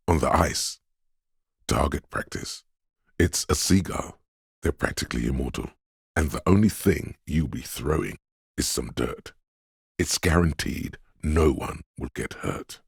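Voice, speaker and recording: An actor playing the coolly urging inner voice of a detective's reflexes in a video game, narrating to the listener in a deliberate, intimate, theatrical way. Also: male voice, no room sound, studio quality, exaggerated voice. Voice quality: very deep, smooth, resonant. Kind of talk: dramatic second-person game narration.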